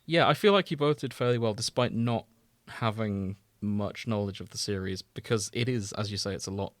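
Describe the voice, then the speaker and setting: A man's voice: on the low side, brisk, no echo. A man hosting a podcast in a casual, conversational style. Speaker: podcast host. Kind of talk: casual chat.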